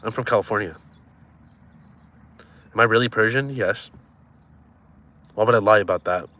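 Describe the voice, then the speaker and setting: A man's voice: on the low side, normal speed, pushed and bright. A man in his twenties chatting casually on a live stream, joking and teasing viewers as he reads their comments. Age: 20s.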